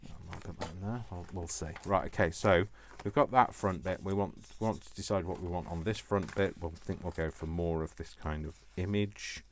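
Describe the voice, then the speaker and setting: A man's voice: low voice, moderate pace, few pauses, a little hiss or hum. A British man hosting a casual craft tutorial, chatting in a rambling, jokey way as if to himself. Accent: British English.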